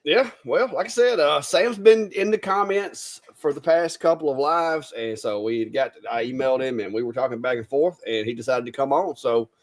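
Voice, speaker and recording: A man's voice: mid-range voice, moderate pace, few pauses. A middle-aged man hosting a live stream, chatty and easygoing, with frequent restarts. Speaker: host. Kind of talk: casual live-stream chat.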